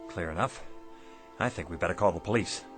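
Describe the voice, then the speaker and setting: An actor playing a struggling, world-weary shopkeeper husband in a radio drama, skeptical and hungry for more. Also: male voice, low-pitched, a little fast, over music, close to an everyday voice. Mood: skeptical, wary.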